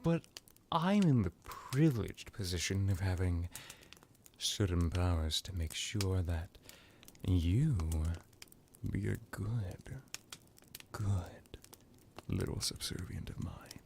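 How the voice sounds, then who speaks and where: A man performs as an ancient vampire lord in an intimate ASMR roleplay. His voice sits fairly low and moves very slowly, slipping into whispers. He is seductive and teasing, with a theatrical menace, over a faint background noise. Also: animated, a little mellow. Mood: possessive, teasing. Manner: slow, theatrical, drifting into whispers.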